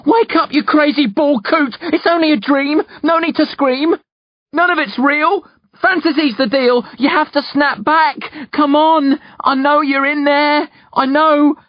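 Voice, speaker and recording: Man voicing an urgent, high-pitched rhyming youth. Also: fairly even intonation, measured pace, dry recording, lo-fi sound. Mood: urgent, insistent. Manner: sing-song rhyming, insistent.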